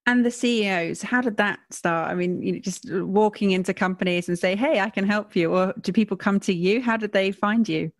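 A woman interviewing a guest, asking calm, reflective questions. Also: medium pitch, a little fast, hardly any pauses, no room sound.

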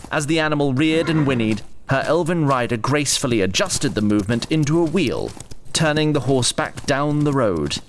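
A man narrating a scripted fantasy story in a deliberate storytelling delivery. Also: mid-range voice, noisy background.

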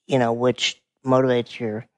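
A man in his forties from Montana with a quiet voice, calmly explaining things as a podcast guest. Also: mid-range voice, unhurried, dry recording. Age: 40s. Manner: calm, explanatory. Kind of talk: casual conversation.

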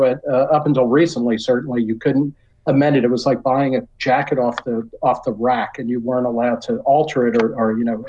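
A man's voice: medium pitch, a little mellow, dull audio. A middle-aged or older man with an American accent, talking as a podcast guest in a casual, reflective, rambling way full of "you know". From New York area, United States.

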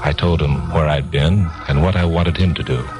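A man's voice: rumbling low, moderate pace. An actor playing a cool, dogged newsman sleuth in a 1950s radio crime drama, with clipped, terse narration. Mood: grim, brooding. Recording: old 1950s radio recording with music.

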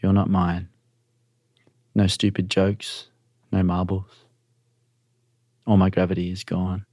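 Male narrator for confessional short fiction: very low, creaky register, measured phrasing. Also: monotone, warm tone, no room sound, clean studio sound. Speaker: storyteller reading own short story. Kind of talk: literary first-person narration.

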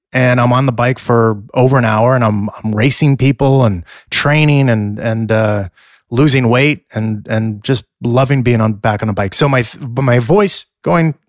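A man's voice: mid-range voice, no echo, clean studio sound. A middle-aged man with a scratchy voice, co-hosting a podcast in casual chat and rambling through fillers and restarts. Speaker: podcast co-host.

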